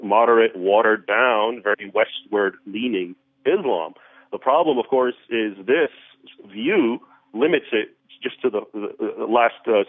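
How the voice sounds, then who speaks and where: A man's voice: lowish voice, no echo. Male guest over a tinny phone line. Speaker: guest expert. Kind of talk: explaining history in an interview.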